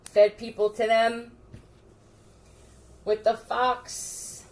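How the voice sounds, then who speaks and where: A woman's voice: fairly high pitch, flat delivery, extremely slow, a little mellow, rough recording. A woman talking in a scattered, profane monologue, addressing unseen listeners directly. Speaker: video creator. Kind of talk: rambling monologue to camera.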